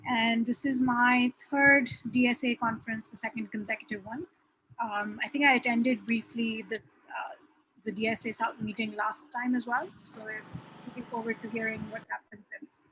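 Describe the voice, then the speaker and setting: A woman's voice: on the high side, steady tone, moderate pace, fairly bright tone, a little hiss or hum, poor audio. A woman, an assistant professor, introducing herself steadily and fluently. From Pakistan.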